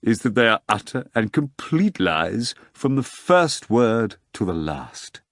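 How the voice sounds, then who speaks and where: Cold bossy devil guy, narrator doing him, low-key and measured, kinda different tone. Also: sing-song, no echo, studio quality, heavily acted. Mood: dismissive. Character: imperious male devil master, dismissive and grave.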